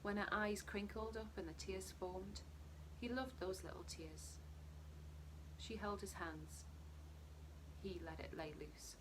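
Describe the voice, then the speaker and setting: A woman narrating a short story expressively, her phrases sometimes dropping to a hush. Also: medium pitch, steady tone, slow pace, background noise. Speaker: story reader. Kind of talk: narrated short fiction reading.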